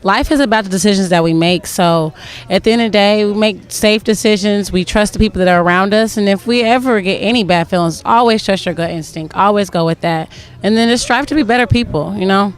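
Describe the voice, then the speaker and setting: Soft-spoken young woman with a smooth voice. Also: medium pitch, fairly quick. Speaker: stage actress. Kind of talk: casual interview.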